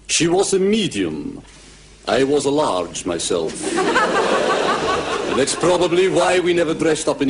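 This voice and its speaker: A pompous professor narrating a mock-gothic radio comedy. His florid delivery is animated, at a middling pitch, over loud music.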